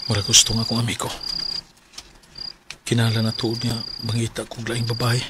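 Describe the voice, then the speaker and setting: A man's voice: mid-range voice, completely flat delivery, fairly quick, heavy background noise, close to an everyday voice. A man with a Cebuano accent playing a heartbroken working-class father in a radio drama. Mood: sombre narrating. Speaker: radio drama actor and narrator.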